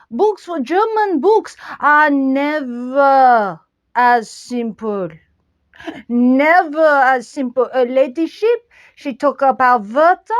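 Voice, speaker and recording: A woman with a French accent hosting a casual book review. She talks in halting phrases, restarts often, and sprinkles in French words. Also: high-pitched. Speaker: book channel host.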